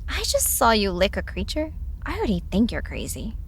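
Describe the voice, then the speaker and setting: A woman's voice: mid-range voice, wildly swooping pitch, brisk, a little hiss or hum. A woman acting a skeptical, insistent young twin sister in an audio drama, speaking conversationally over some background ambience.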